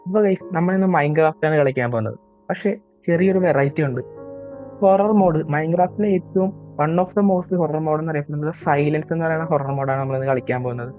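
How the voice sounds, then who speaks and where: A man's voice: a little fast, faint music behind. A young man with a Malayali accent giving casual, self-mocking commentary while he plays a horror game. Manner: chatty and self-mocking, admits he scares easily. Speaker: gaming YouTuber. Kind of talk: casual let's-play commentary. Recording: tinny, low-quality mic.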